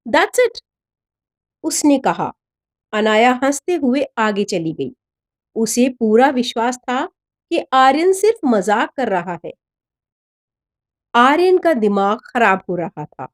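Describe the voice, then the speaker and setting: A woman reading a scripted story in an expressive, dramatic narrator's manner. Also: a little high, slow, long pauses. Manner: expressive, voices the dialogue of characters.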